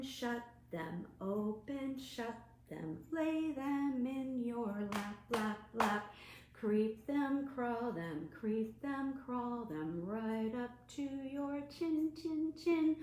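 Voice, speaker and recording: A woman children's librarian reading aloud to young children in a deliberate, expressive, sing-song way. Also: fairly high pitch, a little hiss or hum. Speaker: children's librarian hosting storytime. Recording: roomy, echoing room sound.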